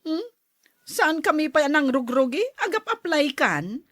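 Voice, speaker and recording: A woman's voice: high-pitched, big pitch swings, a little slow, fairly bright tone, no echo, studio quality, heavily acted. An actress playing a neighbor woman who has spent all her money and is now asking for help, speaking in a worried, pleading way. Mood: plain. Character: neighbor woman fallen on hard times after spending. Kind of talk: audio drama acting.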